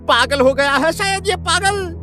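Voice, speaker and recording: A flustered villager, acted by a woman, talks high and bright, stammering in alarmed disbelief. The delivery is repetitive, nervous horror cartoon acting over faint music. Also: a little slow. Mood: baffled disbelief. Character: alarmed, disbelieving villager leading a worried group.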